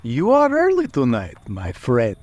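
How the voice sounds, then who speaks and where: A mysterious, courteous coachman from a vintage radio play. The voice is theatrical and sinister, drawn out very slowly, rising high and dropping sharply. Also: wildly swooping pitch, a little mellow. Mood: eerily courteous. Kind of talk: 1930s radio drama acting.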